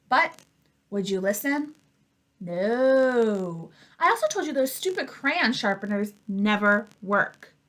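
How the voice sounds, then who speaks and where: Woman voicing an exasperated, high-pitched scolding crayon. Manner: sarcastic, exasperated. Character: indignant, scolding, worn-down gold crayon.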